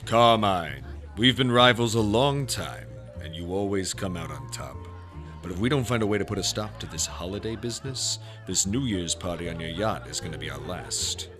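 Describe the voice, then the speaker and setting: An actor playing an uneasy, blunt rival crime boss in a radio noir drama, wary in manner. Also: male voice, deep voice, animated, normal speed, over music.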